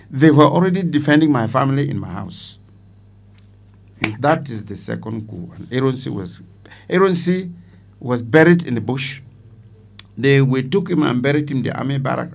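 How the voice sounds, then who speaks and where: Gravelly older Cameroonian man recounting memories. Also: medium pitch, darkish tone. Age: older, 70s or more. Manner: emphatic, repeats phrases for weight. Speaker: retired army commander, interviewee.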